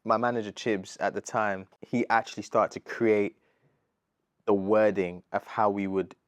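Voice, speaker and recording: British guy, 30, low voice, talks fast and earnest, lots of "bro", dead dry mic. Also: dry recording. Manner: earnest, animated, slangy, says bro a lot. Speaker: gospel artist, interview guest. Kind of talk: casual interview chat.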